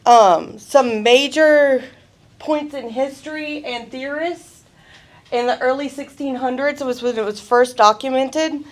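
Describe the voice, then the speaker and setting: A woman giving a college presentation, telling a story in a lively, chatty way. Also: a little high. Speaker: student presenter. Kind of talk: class presentation. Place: college event room.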